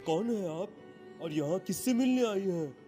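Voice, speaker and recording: Guy acting a confused anxious young dude in a horror cartoon, repeats himself, music clearly behind him. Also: sits high, lively intonation, a little slow, mellow. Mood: friendly. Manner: hesitant, repeating words.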